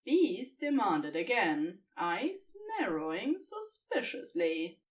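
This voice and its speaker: A woman narrating fan fiction as an expressive scripted audiobook reading. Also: medium pitch, slow, far from the mic.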